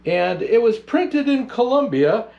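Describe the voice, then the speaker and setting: Man playing jolly Santa, lively swooping voice. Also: very high voice, vocal fry, dull audio, exaggerated voice. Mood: jolly, welcoming. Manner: unhurried, chuckling, grandfatherly. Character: jolly, kindly old Santa Claus. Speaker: storyteller reading to children. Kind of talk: picture book read-aloud with chatty asides.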